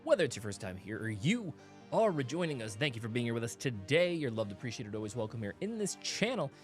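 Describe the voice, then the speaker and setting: A man hosts a music reaction show in a chatty, excitable way, full of fillers and quick restarts. His voice sits in a middle pitch, and his intonation swings widely. Faint music plays in the background. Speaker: music producer and show host. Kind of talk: casual reaction chat.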